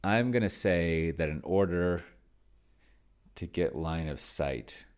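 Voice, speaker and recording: Male gamer-host, very low gravelly register, unhurried, halting delivery, muttering through his moves as he works them out. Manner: halting, thinking out loud, muttering to himself. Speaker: solo tabletop gamer, video host. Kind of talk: casual think-aloud gameplay commentary while typing.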